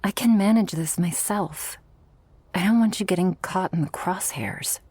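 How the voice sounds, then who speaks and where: A woman voicing an affectionate, protective, dominant shark girl in an intimate ASMR roleplay, with a breathy voice that often drops to a whisper. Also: on the low side, dark tone, dry recording. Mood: firm, worried. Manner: slow, teasing, tender and commanding.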